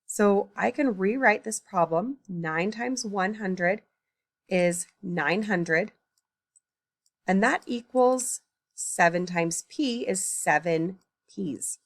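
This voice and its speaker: Gravelly-voiced woman teaching math aloud. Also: mid-range voice, slow, no echo, clean studio sound. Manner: thinks aloud, corrects her own slips. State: tired early in the morning. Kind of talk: recorded math lesson, working problems aloud.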